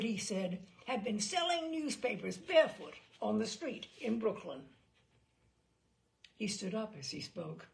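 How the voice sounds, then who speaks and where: A woman reading a memoir aloud as a narrator, in a wry and expressive storytelling manner. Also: mid-range voice, creaky, low-quality recording. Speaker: reader. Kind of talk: audiobook narration.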